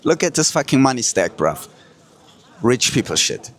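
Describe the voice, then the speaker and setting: A man's voice: medium pitch, big pitch swings, normal speed, a bit bright. A man from Kurdistan who hosts the show as a hypnotist, talking to camera with boastful bragging and profane street slang. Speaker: hypnotist and host. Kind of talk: brash motivational talk to camera.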